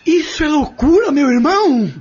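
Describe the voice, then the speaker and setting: A man's voice: very high voice, animated, moderate pace, a little mellow, lo-fi sound, faint music behind. A man playing a scared, pleading younger accomplice in an audio drama, speaking with alarm. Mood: panicked. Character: frightened, pleading weaker brother of a criminal.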